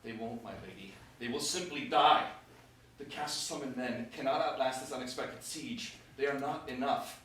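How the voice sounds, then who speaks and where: A man's voice: medium pitch, normal speed, fairly bright tone, close to an everyday voice. A man playing an earnest military adviser in a staged verse play, speaking formally and urgently. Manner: formal, declamatory verse delivery. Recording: very echoey room, distant mic, hiss.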